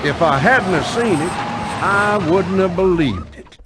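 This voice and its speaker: An actor playing a folksy, wry storyteller, narrating a video game. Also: male voice, sits high, lively intonation, a little slow, very noisy. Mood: wry, amused.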